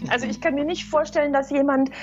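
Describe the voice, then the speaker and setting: A woman sharing her views in a casual conversation, thoughtful and opinionated. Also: high voice. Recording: lo-fi recording with faint music.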